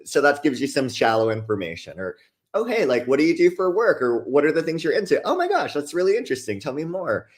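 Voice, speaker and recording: A young adult man from Hawaii, a guest author talking in an earnest, relaxed way with lots of casual fillers. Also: on the high side, quick pace. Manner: earnest, relaxed, many fillers like "like" and "you know". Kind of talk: casual conversational interview.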